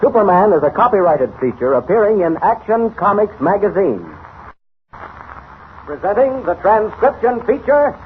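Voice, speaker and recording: A man's voice: sits high, sing-song, normal speed, slight background noise. A man announcing a 1940s radio serial in an emphatic style, on a tinny old recording.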